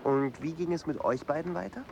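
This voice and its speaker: An actor playing a curious questioner who asks with interest. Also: male voice, a little high, brisk, vocal fry, darkish tone, slight background noise.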